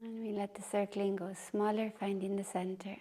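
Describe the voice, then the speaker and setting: A woman teaching meditation and gentle movement, guiding in short, unhurried phrases with pauses. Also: medium pitch, little pitch movement. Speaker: taiji and qigong meditation teacher. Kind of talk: guided meditation and movement instruction. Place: retreat session, live group class.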